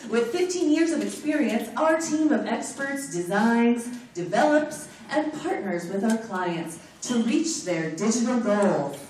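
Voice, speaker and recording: A woman announces sponsors to a live audience in a loud, lively voice that jumps around in pitch and cracks jokes between scripted lines. She sounds distant from the microphone in a big echoing hall. The noise of a crowd is heard behind her. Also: a little slow, hardly any pauses. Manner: loud, animated, joking asides. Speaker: conference organizer announcing sponsors. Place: large conference room with an audience. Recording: echoey hall recorded from afar, crowd noise.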